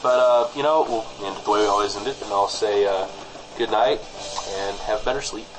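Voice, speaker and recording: A man playing a cautious small-town radio host, reassuring and a little hesitant, bantering in deadpan style on a comic audio show. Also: fairly low pitch, fairly quick, music in the background, close to an everyday voice, rough recording. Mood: measured.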